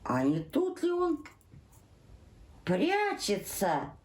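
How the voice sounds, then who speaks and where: A woman's voice: high voice, huge pitch swings, very slow, a little mellow, echoey room, pitched above the performer's own voice, exaggerated voice. A woman voicing a sly, menacing fox who pries and sneers. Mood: sneering. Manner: prying, sneering.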